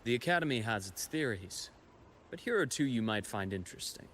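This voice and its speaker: An actor playing a composed, knowledgeable expedition guide and scholar in a video game, telling history in a measured, reflective way. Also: male voice, medium pitch, expressive, fairly bright tone, slight background noise, lightly acted. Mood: earnest. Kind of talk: scripted video game story dialogue.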